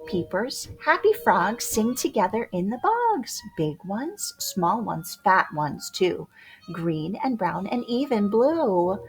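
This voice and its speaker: A woman reading a rhyming children's picture book aloud in a playful, expressive way, drawing out words for dramatic emphasis. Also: medium pitch. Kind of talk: animated rhyming storybook read-aloud for young children. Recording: music behind the voice. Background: music.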